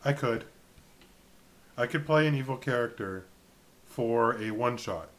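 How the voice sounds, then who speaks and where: A man's voice: mid-range voice, expressive, unhurried. A man casually recapping a tabletop game as a co-host, in an informal, matter-of-fact way. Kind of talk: casual chat and recap narration.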